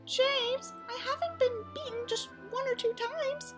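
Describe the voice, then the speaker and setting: A woman voicing a wounded, defiant, melodramatic teenage girl in an audiobook, with an overwrought, halting, emphatic delivery. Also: very high-pitched, over music, pitched above the performer's own voice. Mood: tearful, struggling. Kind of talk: exaggerated dramatic audiobook character reading.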